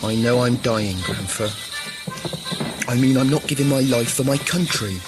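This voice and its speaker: An actor playing a dying young village soldier, weary, regretful and defiant in a radio play. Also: male voice, medium pitch, moderate pace, over loud music, close to an everyday voice. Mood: protesting.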